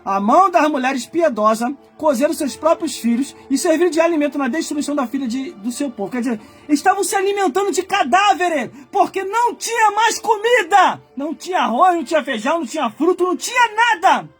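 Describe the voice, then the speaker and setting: A man in his forties preaching with fire, his voice sitting very high as he climbs into shouted warnings and then sinks to low, muttered asides. Soft music plays faintly behind him. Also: lively intonation, brisk, hardly any pauses. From Brazil. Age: 40s. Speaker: pastor. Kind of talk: fiery Pentecostal-style sermon.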